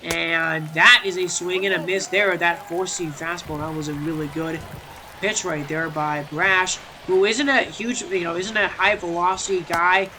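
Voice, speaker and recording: A young man calls the action of a baseball video game, his voice stuffy and congested. It sits high for a man and rings very bright and forceful, chatty and full of restarts, with faint room hiss behind. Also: unhurried. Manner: chatty, many fillers and restarts, excited on big plays. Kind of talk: casual play-by-play commentary.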